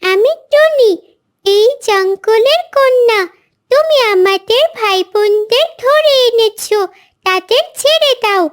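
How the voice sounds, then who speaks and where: An extremely high, childlike little girl bird for a cartoon. She speaks slowly and earnestly, with plucky defiance. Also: expressive, full character voice. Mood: defiant. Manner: earnest, deliberate. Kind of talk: cartoon character acting.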